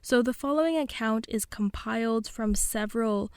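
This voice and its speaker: Clear-voiced woman podcast host, closely recorded. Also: on the high side, normal speed, darkish tone, no echo, clean studio sound. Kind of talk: conversational podcast hosting and narration.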